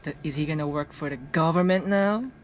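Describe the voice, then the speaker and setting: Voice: medium pitch, brisk, slight background noise. A streamer giving casual game reaction commentary in short interjections, often echoing phrases. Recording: game music behind.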